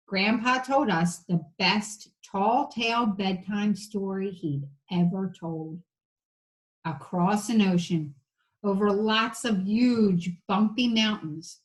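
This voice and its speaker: A woman's voice: mid-range voice, low-quality recording. A woman reading a children's storybook aloud like an elementary teacher, with casual asides to the listeners.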